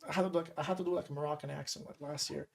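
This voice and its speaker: A woman from Montreal hosting a podcast interview, animated and casual, with many filler words. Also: low-pitched, very fast, creaky. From Montreal, Canada. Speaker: podcast host.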